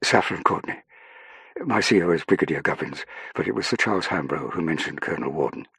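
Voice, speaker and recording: A man's voice: extremely low pitch, expressive, a little fast, creaky, a bit bright, husky, dry recording, full character voice. A man voicing a confident young woman traveller in an audiobook, polite, poised and assured.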